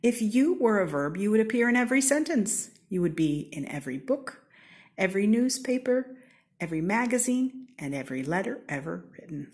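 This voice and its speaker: An American woman from Seattle reading a children's storybook aloud in an expressive, theatrical way, with deliberate, playful emphasis. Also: medium pitch. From Seattle, United States. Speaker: storybook reader.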